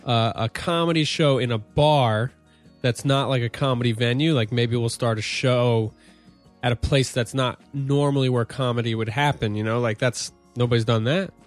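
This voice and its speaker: A man with a nasal voice co-hosting a casual comedy podcast in an apartment, making deadpan, ironic jokes. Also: medium pitch, moderate pace, faint music behind. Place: apartment room.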